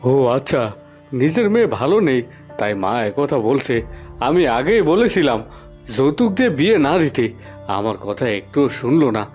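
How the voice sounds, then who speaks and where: A man's voice: medium pitch, very animated, moderate pace, a little mellow, soft background music, lightly acted. A man playing an earnest, questioning adult son in a moral-tale cartoon. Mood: reproachful.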